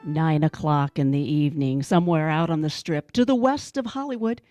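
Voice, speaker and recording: A woman narrating an old-time radio detective drama, setting the scene in a measured, scripted delivery. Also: deep voice, over loud music.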